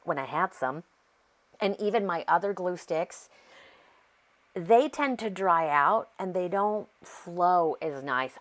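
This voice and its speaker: An American woman with a congested, sore-throat voice, chatting casually and rambling as she narrates a craft process. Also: on the low side. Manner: chatty, rambling, easily sidetracked. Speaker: craft video host.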